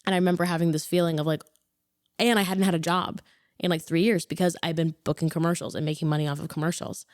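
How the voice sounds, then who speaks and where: A woman in her twenties, an actor and comedian, telling her story in a rambling, self-correcting way, growing animated when excited. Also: lowish voice, quick pace, no room sound, studio quality. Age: 20s. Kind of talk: casual interview chat.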